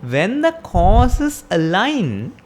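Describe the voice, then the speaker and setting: Slow, lively-toned woman giving a sermon. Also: mid-range voice, slight background noise. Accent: Sri Lankan. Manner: questioning, explains step by step with rhetorical questions. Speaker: Buddhist teacher.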